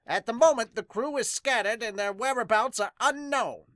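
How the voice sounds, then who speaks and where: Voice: medium pitch, fairly bright tone, no room sound, clean studio sound, voice pushed up, natural voice. A podcaster voicing a bold, dramatic manga announcer, reading titles in a grandiose, emphatic way. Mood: expository. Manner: grandiose, emphatic, drawn-out words.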